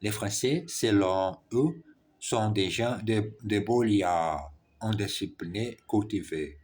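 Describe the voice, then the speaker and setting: Slow, husky man. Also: on the low side, darkish tone. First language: Portuguese. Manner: halting, careful, frequent self-corrections. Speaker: French learner. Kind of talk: reading French practice aloud.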